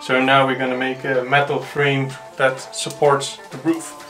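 A man's voice: medium pitch, little pitch movement, measured pace, over music. A young man hosting a renovation vlog, explaining the work in a plain, matter-of-fact way. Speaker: host of a renovation project vlog. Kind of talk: explanatory vlog talk and narration.

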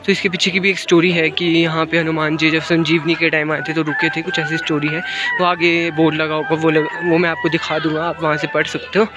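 High-voiced young man, music behind. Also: little pitch movement, a little fast. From Himachal Pradesh, India. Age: 20s. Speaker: vlogger. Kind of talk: casual walking travel vlog narration. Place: outdoors on hill walkways, some indoors. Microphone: phone at arm's length. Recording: music laid loudly over speech. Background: loud music.

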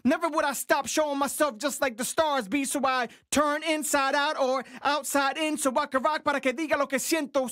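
Brief: man in his thirties, native Spanish speaker, rhythmic freestyle rapper, high-pitched and very dry studio booth sound. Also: a bit bright, dry recording. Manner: rhythmic, chanting, percussive vocal beats. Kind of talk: freestyle rap performance mixing English and Spanish. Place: small recording booth. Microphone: studio condenser mic with headphones.

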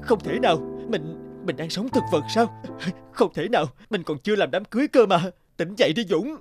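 A man voicing an earnest, expressive young office worker in a cartoon, with music underneath. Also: high-pitched, fairly quick. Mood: distressed, pleading. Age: young adult. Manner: expressive, dramatic. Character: earnest young office manager, groom-to-be, easily distressed. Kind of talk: cartoon dubbing.

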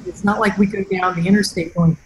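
A middle-aged woman hosting a podcast interview on a video call, talking casually about robotics and acting out quotes lively. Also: fast talker, background noise. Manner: animated, acts out quotes with big pitch jumps. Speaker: podcast host and robotics researcher. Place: small room with a plain wall. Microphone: headset mic on a video call. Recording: echoey room.

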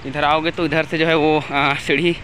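A young man from India speaks casually as a travel vlogger. His voice sits a little high for a man. He records outdoors on a phone, with noticeable rushing noise around him. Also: level tone, pushed and bright. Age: young adult. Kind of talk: casual travel vlog narration. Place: outdoors at a forested waterfall. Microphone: phone at arm's length. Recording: outdoor wind and waterfall noise.

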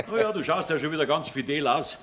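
A man's voice: a little high, lively intonation, brisk, a bit bright. A man playing a mocking, down-to-earth tradesman with a Bavarian accent, teasing and dismissive, in a children's audio play.

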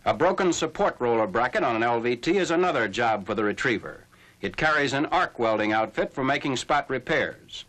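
A man narrating a scripted 1940s military newsreel in a formal, measured announcer's delivery. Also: mid-range voice, animated, fairly bright tone. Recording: noisy old 1940s film soundtrack.

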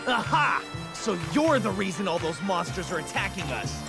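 A woman's voice: mid-range voice, big pitch swings, moderate pace, creaky, music in the background. A woman voicing a brash, whiny young bully of a boy in a video game. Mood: accusing. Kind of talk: dubbed game dialogue.

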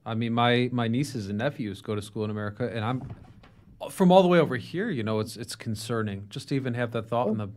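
A man's voice: mid-range voice, fast, darkish tone. A man with a mid-range voice, hosting a podcast from a studio in headphones at a studio mic. He speaks in a reflective, casual way and ties the guest's stories to his own life. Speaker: podcast host. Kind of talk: casual interview. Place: studio with neon sign.

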